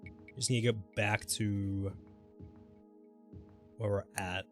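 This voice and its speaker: A man livestreaming a video game, chatting casually and thinking aloud in a halting, mildly sweary way. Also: fairly low pitch, little pitch movement. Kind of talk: casual gameplay commentary and rambling chat. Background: music.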